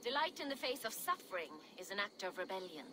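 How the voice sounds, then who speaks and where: A woman's voice: mid-range voice, big pitch swings, quick pace, close to an everyday voice. A woman playing a brash, bawdy Viking ex-smuggler in a video game, with a jaunty, teasing, wry way of talking. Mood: wry, candid. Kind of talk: video game character acting. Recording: clear background noise and outdoor ambience.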